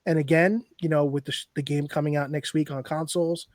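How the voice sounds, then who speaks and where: Easygoing male podcast host, higher voice. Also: fairly even intonation, fast talker, dry recording, studio quality. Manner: easygoing, appreciative wrap-up talk. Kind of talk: casual interview chat.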